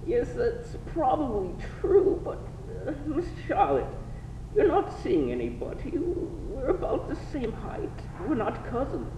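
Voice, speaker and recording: A woman's voice: high-pitched, very animated, a little slow, distant mic. A woman playing an awkward, self-important male suitor with wordy, pompous delivery in a 1920s radio drama parody. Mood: awkwardly hopeful. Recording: muffled, echoey, off-mic, faint hiss.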